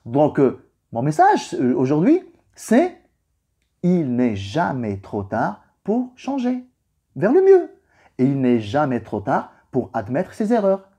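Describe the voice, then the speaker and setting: Young guy, arabic native, casual motivational vlog talk, mellow voice that swings up and down, repeats stuff to drive it home. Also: fairly high pitch, wildly swooping pitch, slow, breathy edge. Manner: emphatic, rhetorical questions and repetition for stress. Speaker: vlogger giving a personal reflection. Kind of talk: informal motivational religious talk to camera.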